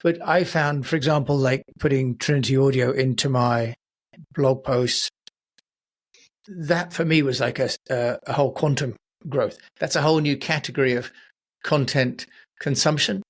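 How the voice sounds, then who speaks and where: A man hosting an interview podcast, talking conversationally in measured, reflective phrases. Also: mid-range voice, steady tone.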